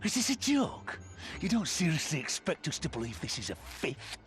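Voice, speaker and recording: An actor playing a suspicious imperial soldier in a video game, indignant and scornful. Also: male voice, a little high, wildly swooping pitch, normal speed, a bit bright, faint music behind. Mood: scornful disbelief. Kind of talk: video game cutscene voice acting.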